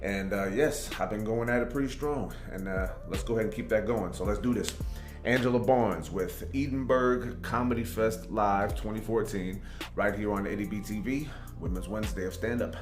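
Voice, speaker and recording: A man's voice: fairly low pitch, moderate pace. A man hosting a reaction video, talking in a laid-back, chatty way with lots of fillers. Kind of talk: casual reaction commentary. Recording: echoey room with music under.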